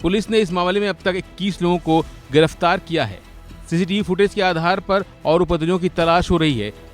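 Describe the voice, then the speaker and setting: A man reading a scripted news voice-over as the narrator of a report. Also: high voice. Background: music bed.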